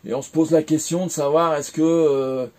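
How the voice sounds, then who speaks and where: A man's voice: a little high, fairly even intonation, moderate pace, a little mellow. A French man in his forties, recounting his career in a casual interview, hesitant with many fillers and restarts. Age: 40s. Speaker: interviewee, former game developer.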